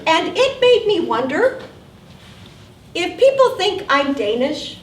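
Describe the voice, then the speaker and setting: High-voiced older woman performing a story onstage. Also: takes it slowly. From South Texas, USA. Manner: wry, animated storytelling with acted asides. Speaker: solo performer. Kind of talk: storytelling stage monologue. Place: theater stage. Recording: roomy theater sound from a distance.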